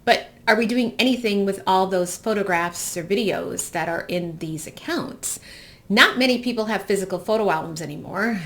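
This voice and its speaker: American woman, 40s, lowish voice, hosting a personal reflective talk. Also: a bit bright. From US Midwest. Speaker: host.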